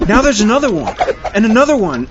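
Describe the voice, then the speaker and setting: An actor playing a melodramatic, flustered radio news reporter in a parody of old-time radio drama, with florid announcing. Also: male voice, high-pitched, very animated, fast, background noise. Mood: horrified, melodramatic. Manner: breathless, florid, alarmed announcing. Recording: slightly muffled recording.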